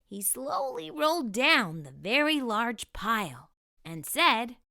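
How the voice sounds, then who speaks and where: A woman's voice: on the high side, sing-song, takes it slowly, pushed and bright. A woman narrating a children's storybook expressively, enunciating for young listeners as a storytime host.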